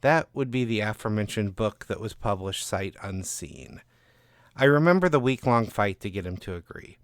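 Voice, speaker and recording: A man's voice: fairly low pitch, big pitch swings, moderate pace, no room sound, studio quality. A man hosting a fiction podcast and reading a scripted first-person short story as narrator.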